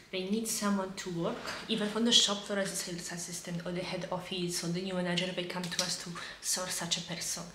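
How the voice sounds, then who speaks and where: A woman's voice: medium pitch, fairly bright tone, reverberant, slight background noise. A woman in her thirties from Poland with a Polish accent, chatting casually to camera at home with many fillers and restarts. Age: 30s. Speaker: vlogger.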